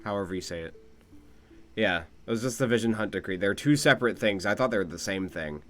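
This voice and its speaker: A man's voice: lowish voice, expressive, fast talker, a bit bright. A man giving casual live game commentary as a streamer, musing aloud. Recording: music behind the voice.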